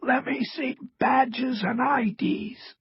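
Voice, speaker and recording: A man voicing a frail, paralyzed male ex-cop in an audiobook, with a strained, raspy, halting wheeze and a defiant temper. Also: very high voice, steady tone, dull audio, slight background noise, voice pushed up. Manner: halting, defiant, foul-mouthed.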